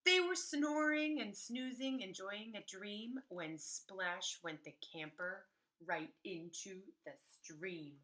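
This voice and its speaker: A woman reading a story aloud to young children like a preschool teacher, animated and emphatic, pausing to explain things. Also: mid-range voice, low-quality recording.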